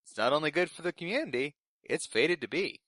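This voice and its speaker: Young male radio intern, played by a man: polite, eager, nervous, lighter pitch, fiction podcast newscast, dry sound. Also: animated, brisk, fairly bright tone, no echo. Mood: chatty, uneasy. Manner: polite, earnest delivery of absurd news. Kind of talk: scripted fiction podcast in community radio broadcast manner.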